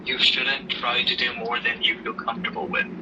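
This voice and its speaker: Man acting as caring father, audio drama, mid-pitched, earnest, measured, consoling, off-mic, noisy background. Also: completely flat delivery, far from the mic, lo-fi sound, close to an everyday voice. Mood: reassuring.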